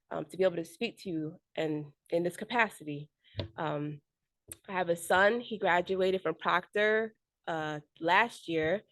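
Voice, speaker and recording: A woman in her forties gives an unprepared speech at a neighborhood meeting in a somewhat low voice. She talks earnestly but halts often, filling the gaps with ums and starting sentences over. The sound is dry, with little room echo. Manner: earnest, halting, with frequent ums and restarts. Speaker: school board candidate.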